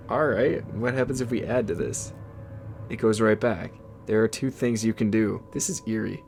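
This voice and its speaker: A man whose first language is Igbo, hosting a casual vlog in an excitable, swearing, often disbelieving way. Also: fairly low pitch, unhurried, vocal fry, faint music behind. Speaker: YouTube host.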